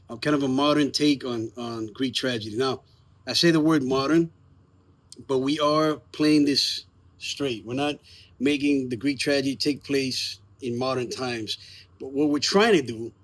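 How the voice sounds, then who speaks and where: A man's voice: mid-range voice, steady tone, a little slow, a bit bright. A man speaking as a theater maker in a casual promotional chat, informal and emphatic. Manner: informal, emphatic, lightly profane. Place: outdoors in the evening.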